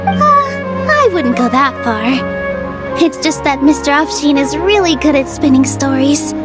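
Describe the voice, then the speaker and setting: A woman voicing a gentle, modest young woman in a video game, speaking softly and politely with slight hesitance. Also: high-pitched, animated, a little slow, loud music behind the voice. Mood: kind, explaining. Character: gentle, sweet, modest young female dancer. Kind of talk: English video game voice acting.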